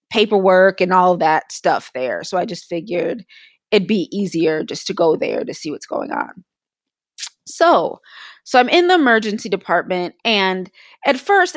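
A woman's voice: lowish voice, no room sound, clean studio sound. A woman co-hosting a casual podcast, telling her story while she is losing her voice. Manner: candid, storytelling about her illness. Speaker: podcast co-host.

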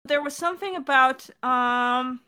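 A woman's voice: high-pitched, unhurried, pushed and bright, clear, resonant tone. A woman chatting casually and loosely as a director hosting a creator Q&A. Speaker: podcast director reading out listener questions.

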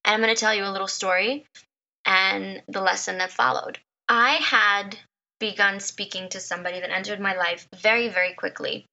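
A woman in her thirties hosts her podcast and tells a personal story, her voice gravelly and a little raspy from crying. The tone is bright and forward, and she repeats herself and laughs as she talks. The sound is dull and lo-fi. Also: mid-range voice, steady tone, normal speed. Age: 30s. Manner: emotional, repeats words, laughs often. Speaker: podcast host.

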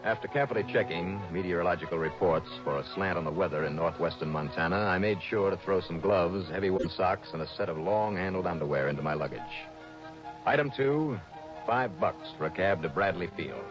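An American man playing a brisk, wry insurance investigator in a 1960s radio detective drama, with clipped, punchy delivery. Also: low-pitched, close to an everyday voice. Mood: wry, businesslike. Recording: dull vintage radio recording with music.

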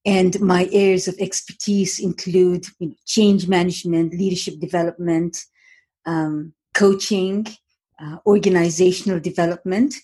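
A middle-aged woman from Tanzania speaks as a guest on an interview show. Her voice sits a little low, dark and husky. She talks in a measured, reflective way, pausing often with soft ums and uhs. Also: flat delivery. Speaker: guest, author. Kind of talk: interview conversation.

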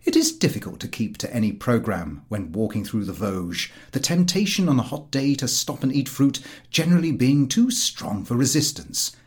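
A man's voice: medium pitch, very animated, moderate pace, darkish tone. A man narrating a comic literary travelogue as a scripted audiobook.

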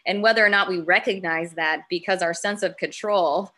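A woman's voice: fairly low pitch, normal speed, bright, forceful tone. A woman talking reflectively in a casual podcast chat, thinking aloud as she goes. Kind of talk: casual group conversation.

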